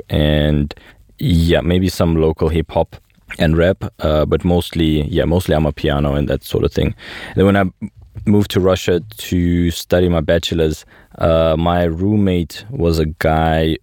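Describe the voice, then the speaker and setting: A man's voice: low voice, darkish tone, dry recording, clean studio sound. A young man from South Africa hosting a podcast interview, reading an upbeat intro and then chatting casually. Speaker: podcast host.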